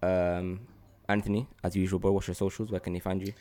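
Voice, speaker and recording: Low-voiced London man chatting on a podcast. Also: fairly quick. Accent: British, London. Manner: animated, slangy, repeats himself for emphasis. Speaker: podcast host. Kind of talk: casual podcast chat.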